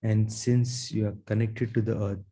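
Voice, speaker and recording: A man with a low, very mellow voice guides a meditation. He speaks slowly and gently, in short soothing phrases with long pauses between them. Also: level tone. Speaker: meditation guide, Reiki teacher.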